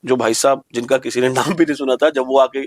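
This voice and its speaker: A man's voice: mid-range voice, fairly even intonation, fast talker, dry recording, studio quality. A man with an Indian accent hosting an opinionated podcast discussion, speaking emphatically with rhetorical questions and slipping into English. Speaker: podcast host.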